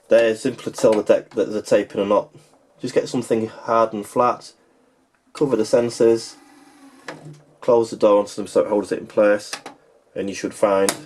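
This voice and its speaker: A man with a fairly low voice talks through a practical repair in an informal, matter-of-fact way. He sounds like a technician explaining each step while his hands are busy. The recording has clear background noise and clicking. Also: a little mellow. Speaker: repair technician and video host. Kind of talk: informal hands-on tutorial narration.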